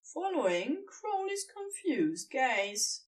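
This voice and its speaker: A woman narrates a story in a fairly high, expressive voice with lively swings of pitch. She takes her time over the scripted prose and sounds a little way from the mic. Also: takes it slowly, far from the mic. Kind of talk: scripted fan fiction narration.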